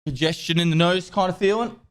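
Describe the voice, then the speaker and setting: A man with a stuffy nose from a cold, chatting casually on a live stream and thanking subscribers in a rambling, relaxed way. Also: high-pitched, fairly quick, clean tone.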